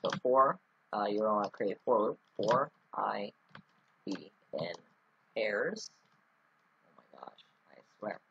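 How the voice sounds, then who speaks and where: A man's voice: on the low side, lively intonation, takes it slowly, creaky, long pauses, pushed and bright, husky, dull audio. A man talking through a casual screen-recorded coding tutorial, rambling with many ums and restarts. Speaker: scripting tutorial host.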